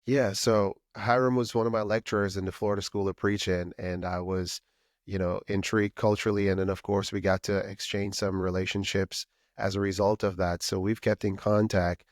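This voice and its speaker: A man hosting a faith interview show, asking quick, friendly questions with fillers. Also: on the low side, little pitch movement, no echo, studio quality. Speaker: host. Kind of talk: casual interview over video call.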